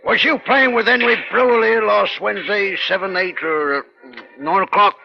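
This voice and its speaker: A man playing a shifty working-class London pool hall owner in an old radio crime drama, slow and mulling. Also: sits high, gravelly, noisy background. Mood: evasive. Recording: muffled old radio recording, music behind.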